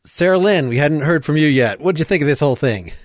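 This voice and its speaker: Male host, fairly high voice, animated intonation, casual chat. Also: fast.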